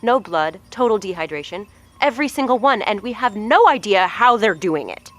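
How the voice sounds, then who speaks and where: A woman's voice: mid-range voice, animated, moderate pace, soft background music, close to an everyday voice. An actress playing a blunt, foul-mouthed woman who heads a research facility, talking in a commanding way in an audio drama. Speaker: facility head.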